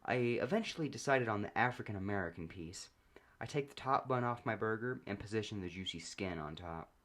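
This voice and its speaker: Low-voiced man narrating a story, deadpan. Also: fairly quick. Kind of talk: first-person comedic story narration.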